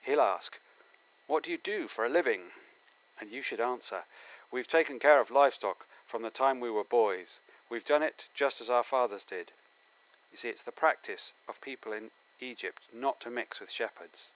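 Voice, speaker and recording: A man's voice: medium pitch, moderate pace, fairly bright tone, lightly acted, poor audio. A man playing Joseph, a confident, commanding Bible-story figure, in an emphatic amateur dramatic reading. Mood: instructive, confident.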